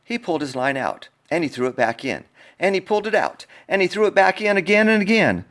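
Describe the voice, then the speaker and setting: A man reading a picture book aloud in an expressive, playful way, talking directly to young listeners. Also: high-pitched, a little fast. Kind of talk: animated children's storybook read-aloud.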